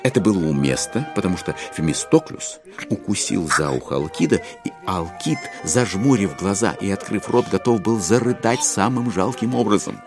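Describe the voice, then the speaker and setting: A wry storyteller in an audio drama. His voice is low and deep, with lively, varied intonation and deliberate phrasing, and music plays clearly behind him. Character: wry, unhurried male storyteller narrator. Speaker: narrator. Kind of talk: dramatized literary narration.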